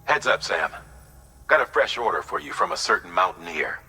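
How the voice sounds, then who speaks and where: A very deep-voiced, businesslike mission officer briefs with a hard, forceful edge. The measured game dialogue rings with long reverb. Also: male voice, very animated, gravelly, soft background music, full character voice. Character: businesslike male mission support officer giving orders.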